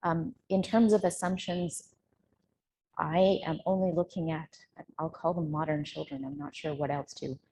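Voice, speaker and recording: A woman's voice: low voice, steady tone, moderate pace, warm tone, a little hiss or hum, poor audio. A woman giving an academic conference presentation at a workshop, explaining with informal asides. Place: live workshop room, relayed to video call.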